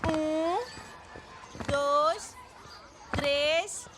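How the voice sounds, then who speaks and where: A woman playing a keen, excited schoolgirl pupil in a radio drama. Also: high voice, very slow. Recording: noisy outdoor group scene, voices behind.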